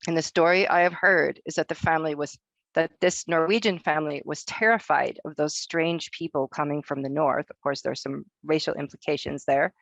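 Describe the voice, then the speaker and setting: A woman from Northern Norway, a researcher giving a prepared academic presentation, reading her text in a measured way. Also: deep voice, fairly even intonation, gravelly, dry recording.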